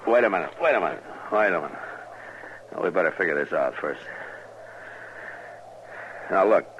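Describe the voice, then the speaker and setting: A stubborn, wounded lawman from an American radio western. His voice sits very deep and his delivery is terse and gruff, used to command. The vintage recording is dull and clearly noisy. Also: expressive, normal speed. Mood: firm, urgent. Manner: terse, habitually tags orders with "huh?". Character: injured, stubborn frontier marshal, gruff and in command. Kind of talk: 1950s American radio drama acting.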